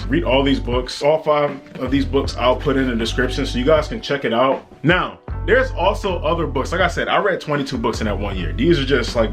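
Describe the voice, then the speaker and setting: A man's voice: medium pitch, fairly bright tone. An American man talking casually and earnestly to viewers as a vlogger, in a slangy, conversational, motivational way. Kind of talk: casual motivational book talk to camera.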